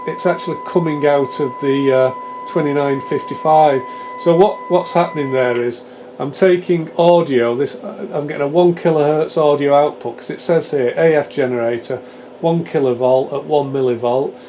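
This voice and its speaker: Mellow British man explaining gear informally. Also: fairly high pitch, normal speed, slight background noise. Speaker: presenter demonstrating radio repair equipment. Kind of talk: informal hands-on technical explanation.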